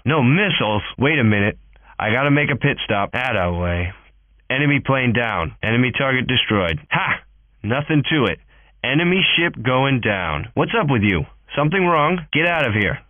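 An actor voicing a cocky, easygoing fighter pilot in a video game, with punchy, clipped calls through a radio filter. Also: male voice, mid-range voice, sing-song, moderate pace, no echo. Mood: laid-back, cocky. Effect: cockpit radio filter. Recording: tinny, phone-like radio channel.

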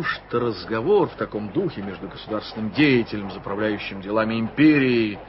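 Man narrating an old radio play, lively up and down voice, muffled tape. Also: medium pitch, a little hiss or hum. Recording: dull old radio recording with music.

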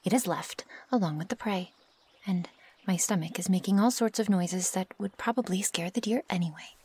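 A woman playing a naive, impatient young werewolf in an ASMR roleplay, speaking softly and breathily in stilted, formal phrasing. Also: fairly low pitch, normal speed. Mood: impatient, grumbling. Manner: stilted formal phrasing without contractions, often breathy or near-whispered. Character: feral young female werewolf, naive, curious, impatient, possessive. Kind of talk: ASMR roleplay, intimate one-sided dialogue.

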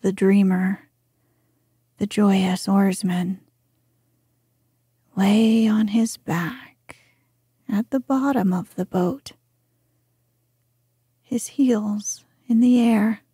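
A woman reading a storybook aloud in a soft, gentle whisper. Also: medium pitch, steady tone, extremely slow, long pauses, slightly rough, no room sound, clean studio sound.

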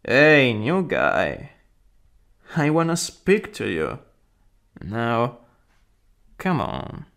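A man playing a rude young school bully who is secretly smitten, in an ASMR roleplay, cocky and prone to fluster, with a gravelly, husky voice. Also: mid-range voice, huge pitch swings, drawn-out words, long pauses, a bit bright, full character voice. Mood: domineering. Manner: cocky taunts breaking into stammering, breathy asides.

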